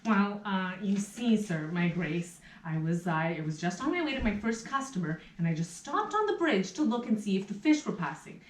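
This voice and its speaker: A woman's voice: mid-range voice, expressive, moderate pace, hardly any pauses, lightly acted. Flustered, servile barber played by a woman. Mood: nervous, pleading. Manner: hesitant, apologetic, theatrical. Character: meek, flustered, servile male barber, puppet. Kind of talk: puppet theatre acting. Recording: roomy, distant rehearsal recording.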